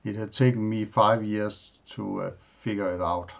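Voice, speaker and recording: A man whose first language is Danish, hosting a tech tutorial and walking through his computer setup in a plain, halting way, listing items with pauses and fillers. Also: on the low side, steady tone, creaky. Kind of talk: screen-recorded software walkthrough.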